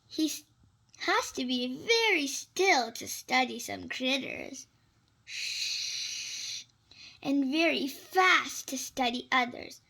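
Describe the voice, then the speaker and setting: A very high-pitched voice reads a children's storybook aloud at a slow, careful pace. The delivery is animated and expressive, with a creaky edge and little asides to young listeners. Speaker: storybook reader.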